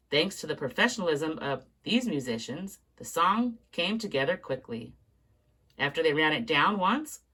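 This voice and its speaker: Woman reading a story out loud, lowish voice that moves up and down a lot. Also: breathy edge. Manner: expressive read-aloud, carries on through interruptions. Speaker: book reader. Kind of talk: reading a book aloud.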